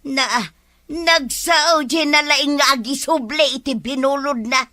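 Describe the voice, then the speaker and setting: A woman's voice: sits high, fairly even intonation, unhurried, sharp tone. A woman playing a vain, nagging village wife who borrows things, whining in an Ilocano comedy drama.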